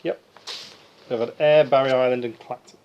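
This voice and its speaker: A man's voice: medium pitch, steady tone, normal speed, highs cut off. Male quiz master, faint background noise. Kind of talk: reading quiz questions to contestants. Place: live quiz night, group in a room.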